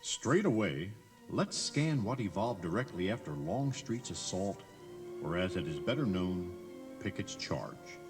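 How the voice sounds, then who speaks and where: Male narrator, highly varied intonation, dramatic scripted storytelling for an eighties cassette tour, faint music underneath. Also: sing-song, unhurried. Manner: theatrical, emphatic storytelling with rhetorical questions. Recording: 1980s cassette tour tape, music behind.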